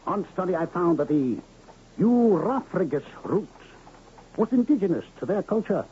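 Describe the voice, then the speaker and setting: A dull, hissy radio drama recording of a traveling medicine man. He pitches in a high voice with pompous, theatrical flourish. He is showy, flattering and wordy. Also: normal speed. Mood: showy, persuasive. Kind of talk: 1970s radio drama acting.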